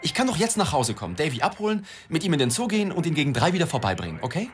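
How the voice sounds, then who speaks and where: A man's voice: fairly high pitch, very animated, racing, soft background music. An actor playing a flippant, evasive newspaper reporter and family man in a wry radio drama style. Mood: placating, apologetic. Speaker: actor, lead and narrator. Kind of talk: radio drama dialogue and first-person narration.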